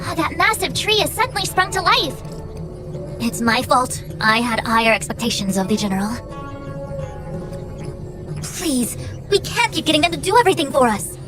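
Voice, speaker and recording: A haughty young woman diviner with a high voice, crisply formal and imperious. She speaks as a game character used to command and easily exasperated, over a clear music bed. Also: sing-song, moderate pace, long pauses. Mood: indignant. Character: haughty, brisk young female master diviner, authoritative and exasperated. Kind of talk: scripted video game voice acting.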